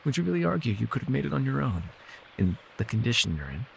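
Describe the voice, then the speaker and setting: A man playing a gentle, weary immortal elf healer with a wry streak, speaking softly in measured, formal phrases for an intimate fantasy ASMR roleplay. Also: medium pitch, big pitch swings, creaky, slight background noise, rough recording. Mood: soothing.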